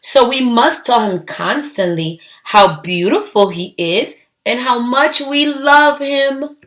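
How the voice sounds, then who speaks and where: A woman's voice: a little high, lively intonation, slow pace, fairly bright tone. A woman voicing doting parents in a children's storybook, gushing over their baby in a sing-song way. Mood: adoring. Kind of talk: children's storybook read-aloud.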